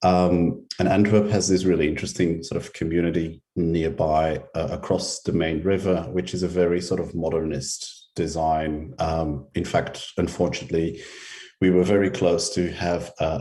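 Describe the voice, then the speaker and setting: Very low man's voice, mellow, from Belgium, online conference talk, hesitant with frequent ums, video call audio. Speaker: researcher and symposium speaker.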